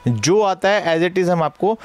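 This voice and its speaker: A man with a Delhi Hindi accent giving a brisk, persuasive sales pitch while walking through a large electronics warehouse, calling the listener sir. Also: lively intonation, a little mellow, clear, resonant tone, no room sound. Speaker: shop salesman.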